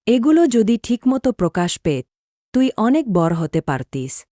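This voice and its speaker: A woman's voice: a little high, big pitch swings, a little slow, mellow, dry recording, studio quality. Lady reading as kind village shop uncle in a story, encouraging, voice swings up and down. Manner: encouraging, familiar.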